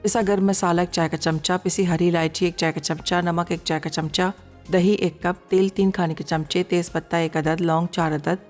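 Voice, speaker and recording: Woman reading recipe lists, lowish voice, steady even pace, pakistani accent, long reverb, music under. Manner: steady, measured listing. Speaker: recipe voice-over reader. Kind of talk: scripted recipe narration.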